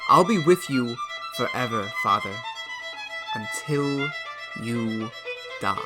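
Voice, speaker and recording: A man acting an undead son who has come back as a wolf, speaking quietly and ominously in a radio drama. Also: medium pitch, lively intonation, takes it slowly, over loud music. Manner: quiet, soft, ominous and regretful. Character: undead young man returned as wolf, eerie calm.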